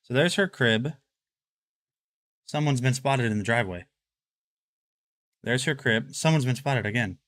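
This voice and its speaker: A man in his twenties talks to his livestream chat in a loose, rambling way, trailing off and restarting as he moves through his house. His voice is bright and forward. The sound is dry, with little room echo. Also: mid-range voice, lively intonation, unhurried, pauses often. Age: 20s. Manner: rambling, chatty, addresses chat. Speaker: livestream host. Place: home, walking through rooms.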